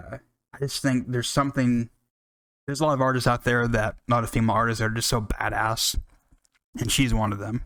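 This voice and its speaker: A man's voice: mid-range voice, a bit bright, no room sound. An American man hosting a music podcast, talking casually and enthusiastically in a rambling, unscripted way with some swearing.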